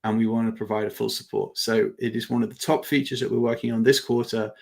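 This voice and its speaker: A man presents an informal live product demo with noticeable vocal fry. His delivery is halting and conversational, as he thinks aloud while he explains things to attendees. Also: lowish voice, flat delivery. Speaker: webinar presenter demonstrating software.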